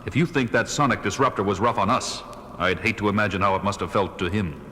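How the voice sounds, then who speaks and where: An actor playing a grave, composed masked crime fighter in a superhero audio drama. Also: male voice, lowish voice, quick pace, breathy edge. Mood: grave, calm. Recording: loud dramatic music underneath.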